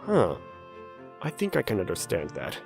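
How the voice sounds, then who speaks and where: A man playing a level-headed, gently teasing young man in a manga dub, narrating and talking in a dry, blunt manner. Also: low-pitched, wildly swooping pitch, moderate pace, vocal fry, faint music behind, exaggerated voice. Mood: calm, probing.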